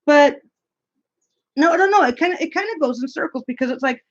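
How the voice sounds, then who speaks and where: A woman's voice: high voice, a little fast. A woman with a scratchy voice co-hosting a casual live stream, rambling with many restarts and repeats. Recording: dull lo-fi stream audio.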